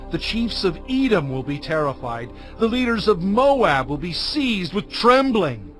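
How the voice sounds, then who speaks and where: An actor playing Moses, a fervent and reverent prophet, declaiming scripture in a measured way. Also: male voice, a little high. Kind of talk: dramatized scripture recitation.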